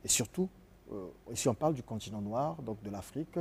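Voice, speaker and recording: A man's voice: lowish voice, expressive, brisk, a little mellow, a little hiss or hum. A man from the Republic of the Congo hosting a radio interview, courteous and hesitant, with many fillers. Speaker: radio host.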